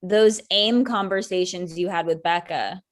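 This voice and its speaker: A woman's voice: on the low side, a little slow, close to an everyday voice. An actress playing a sardonic, wounded ex-girlfriend in her thirties, with dry, pointed delivery in a comedic table read. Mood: accusing. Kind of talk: naturalistic comedic dialogue reading.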